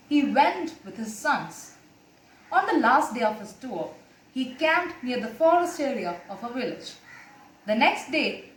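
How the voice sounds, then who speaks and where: Animated young Indian-accented woman storyteller, echoey room. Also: fairly high pitch. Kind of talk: narrating a moral tale to listeners. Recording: echoey room with faint background noise.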